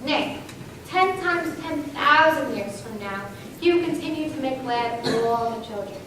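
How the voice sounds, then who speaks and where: A woman's voice: high-pitched, expressive, a little slow, fairly bright tone. A woman giving a declamatory scripted recitation as a readers theater performer. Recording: echoey hall, distant mic, noisy.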